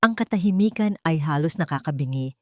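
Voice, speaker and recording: A Filipino-accented woman tells a scripted romantic drama as the storyteller. Her voice sits very low for a woman, and the recording is close and dry. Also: lively intonation, brisk, no echo.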